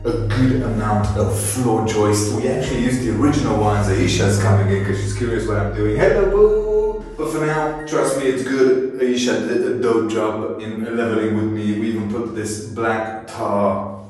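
A man's voice: lowish voice, normal speed, a little mellow, recorded from a distance. A man casually vlogging his house renovation, upbeat, chatty and jokey, talking in a bare room under construction. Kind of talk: casual home renovation vlog. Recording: very echoey empty room with long reverb, music underneath.

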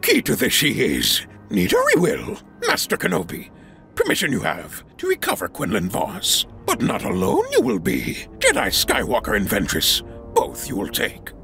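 A man playing a tiny, ancient, wise alien master with a gravelly croak, measured cryptic delivery and inverted word order, in an audio drama. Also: a little high, huge pitch swings, bright, forceful tone, faint music behind, exaggerated voice. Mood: firm. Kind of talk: fan audio drama acting.

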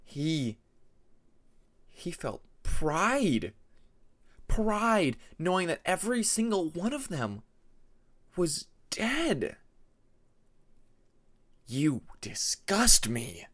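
A man's voice: sits high, big pitch swings, very slow, long pauses, fairly bright tone. A man narrating a fantasy story as an amateur audiobook reader, in a dramatic scripted style.

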